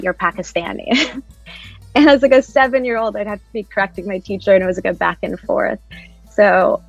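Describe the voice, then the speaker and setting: A woman's voice: mid-range voice, vocal fry, no room sound, dull audio. A woman in her thirties from New Jersey, telling personal stories as a panelist in an online discussion. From United States, New Jersey. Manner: personal storytelling, soft-spoken with frequent "like".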